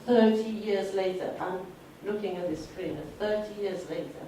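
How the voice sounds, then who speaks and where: A woman's voice: medium pitch, normal speed. A woman in the audience giving an earnest, moved comment to filmmakers. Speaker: audience member. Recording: echoey hall, distant, some noise.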